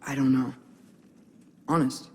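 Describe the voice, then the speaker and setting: A man's voice: medium pitch, flat delivery, slow, a little hiss or hum, close to an everyday voice. A young man plays an earnest teenage boy in a game cutscene. He sounds hesitant and unsure, with a mid-range voice over faint background ambience. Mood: nervous, evasive. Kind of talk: naturalistic video game cutscene acting.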